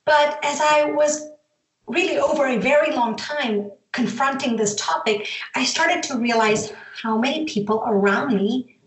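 A woman's voice: sits high, unhurried. A middle-aged woman with an American accent, chatting in a meandering, casual way as an interview guest. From born in Zambia, grew up in the US from age ten. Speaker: podcast guest, company founder. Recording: echoey, roomy sound.

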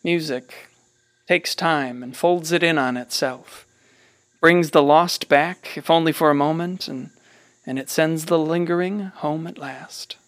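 A man's voice: fairly high pitch, unhurried, lightly acted. A man acting as a folksy small-town narrator in a radio play, musing in a conversational, philosophical way. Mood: reflective. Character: folksy small-town storyteller, reflective and fond of his town.

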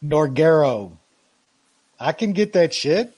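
A man's voice: a little high, animated, takes it slowly, a little mellow, husky, dry recording. A man co-hosting a casual podcast about fights and betting, laid-back and profane, often trailing off. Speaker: podcast co-host.

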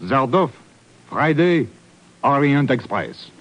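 A brisk, self-satisfied French inspector in a vintage radio thriller. His French-accented voice is husky and swings widely in pitch, excitable and dismissive. The recording is old and dull. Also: exaggerated voice. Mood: smug, dismissive. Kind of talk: 1950s radio drama acting.

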